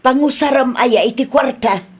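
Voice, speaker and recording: A woman with an Ilocano accent playing a nagging, blunt older aunt in a comedy radio drama, scolding and expressive. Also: sits high, moderate pace, breathy edge. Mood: reluctant.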